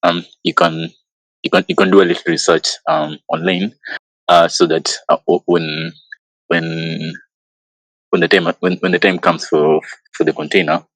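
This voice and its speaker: A man's voice: deep voice, flat delivery, normal speed, gravelly, a bit bright, dry recording, poor audio. A man from Kenya announcing his culture session on a group call, hesitant and repeating words again and again. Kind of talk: casual group call chat.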